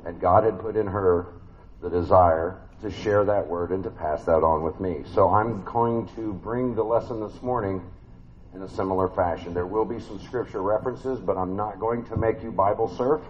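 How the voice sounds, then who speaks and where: Big-voiced man preaching a relaxed sermon. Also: low-pitched, moderate pace, lo-fi sound, a little hiss or hum. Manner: conversational, folksy, many fillers and restarts. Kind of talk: family sermon. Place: church.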